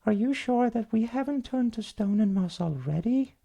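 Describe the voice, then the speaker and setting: A woman's voice: a little high, lively intonation, normal speed, creaky, dark tone. A woman voicing a small, timid, curious young troll boy in a bedtime story, asking worried questions hesitantly. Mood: doubtful, worried.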